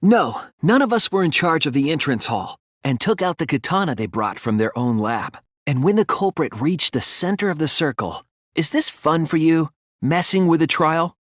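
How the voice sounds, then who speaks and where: Casting: a thoughtful teen boy sleuth for a game dub; earnest, deliberate, slightly breathy, very dry close sound. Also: fairly high pitch, expressive, fairly bright tone, dry recording. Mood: reasoning, earnest. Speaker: video game voice actor. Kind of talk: English video game dub, dramatic deduction dialogue.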